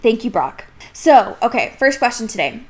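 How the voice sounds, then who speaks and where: A woman's voice: a little high, sing-song, moderate pace, slight background noise. A young woman co-hosting a podcast in casual, irreverent chat, talking in a slangy, sweary, self-mocking way. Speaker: podcast co-host.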